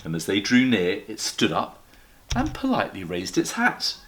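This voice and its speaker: A man's voice: fairly low pitch, huge pitch swings, normal speed, a bit bright. A man, a headmaster, reading a children's story expressively and addressing the listeners directly.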